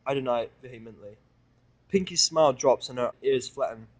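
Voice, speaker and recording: A man's voice: mid-range voice, fairly quick. A man reading a first-person story aloud as dramatic narration for a fan audio drama.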